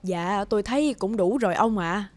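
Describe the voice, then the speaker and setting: A woman's voice: medium pitch, moderate pace, darkish tone, natural voice. A woman voicing an awkward, unpretentious middle-aged country mother in a cartoon. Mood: polite, shy. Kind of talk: animated cartoon dubbing. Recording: music behind the voice.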